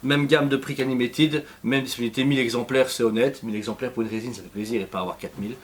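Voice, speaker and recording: A man with a Belgian French accent, co-hosting a casual review of a collectible statue, talking in quick, rambling runs full of fillers and restarts. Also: mid-range voice, steady tone, a little hiss or hum.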